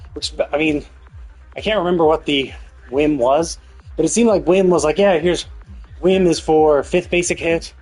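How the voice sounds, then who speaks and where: Husky-voiced man commentating on a game. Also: on the high side, a little mellow, rough recording. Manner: thinking aloud, explanatory, mildly profane. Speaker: game streamer. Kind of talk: casual live gameplay commentary.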